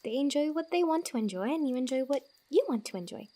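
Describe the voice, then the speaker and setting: Girl acting as a sweet wanderer lady in an asmr fantasy roleplay, higher soft voice, giggly n teasing, kinda rambles while comforting you. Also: expressive, quick pace. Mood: encouraging. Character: friendly, teasing, reassuring female wanderer in fantasy woods.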